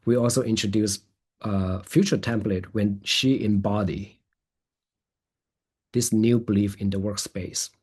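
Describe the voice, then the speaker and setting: Male therapist presenting a webinar: husky, lowish voice, hesitant delivery. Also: a little mellow. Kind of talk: presentation and question answering.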